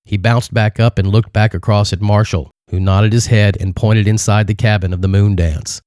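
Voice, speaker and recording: A man's voice: lowish voice, fairly even intonation, moderate pace, darkish tone, dry recording, studio quality. A man narrating a dramatic adventure story as a scripted audiobook reading.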